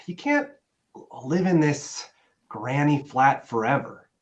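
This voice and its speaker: A man playing an affectionate, gently pressing boyfriend in a naturalistic script reading, conversational and a little hesitant. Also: medium pitch, wildly swooping pitch, slow pace, highs cut off. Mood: pleading, teasing.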